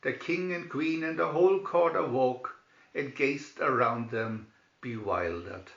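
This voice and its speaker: Man, fairy tale narrator, deliberate reading, medium pitch, roomy echo. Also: a bit bright. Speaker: storybook reader. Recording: dull, roomy amateur recording.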